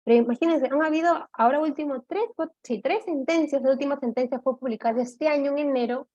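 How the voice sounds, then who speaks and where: A woman giving an online law lecture, explaining step by step, often checking in with her listeners and pausing on "eh". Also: sits high, warm tone, dull audio. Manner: explanatory, checks in with "¿de acuerdo?", frequent "eh" fillers.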